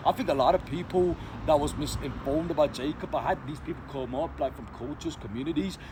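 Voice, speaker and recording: A man from Sri Lanka talks off the cuff in a slightly raised voice. He rambles and restarts, packing his talk with slang and fillers. Cars roar past clearly behind him on a noisy street. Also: fairly high pitch, a little fast. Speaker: vlogger. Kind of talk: casual unscripted monologue.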